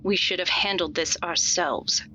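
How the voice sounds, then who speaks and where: A woman's voice: on the low side, a little slow, music in the background, natural voice. A woman playing a dismissive, impatient female elder with a curt, scornful manner in a fantasy audio drama. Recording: dull band-limited sound, faint noise.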